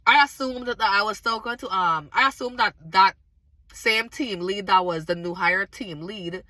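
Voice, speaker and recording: A woman with a raspy, slightly hoarse voice, recovering from being sick, talks earnestly straight to her viewers. Her tone is bright and forceful, and the sound is close and dry with no room echo. She tells her testimony in a casual, emphatic way. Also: medium pitch, moderate pace. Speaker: vlogger sharing a faith testimony.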